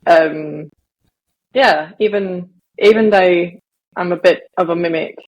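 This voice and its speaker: A woman co-hosting a podcast, chatting casually in a measured, inquisitive way. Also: on the low side, slightly rough, poor audio. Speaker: podcast co-host.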